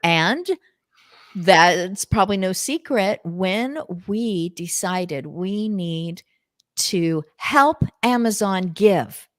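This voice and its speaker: A woman with a low, thin alto voice, a guest on a video call speaking in a deliberate, upbeat and earnest way. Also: animated, dry recording, clean studio sound. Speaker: guest charity representative. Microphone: video call, changing microphones.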